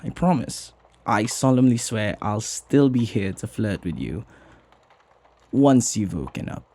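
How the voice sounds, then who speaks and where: A flirty, lovestruck butler acted by a man for an ASMR roleplay. His voice is husky and mellow, with very unhurried pacing. He speaks tenderly, teasing and hesitating as he dotes on his beloved master. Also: on the low side, very animated, exaggerated voice. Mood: coaxing, fond. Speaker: voice actor.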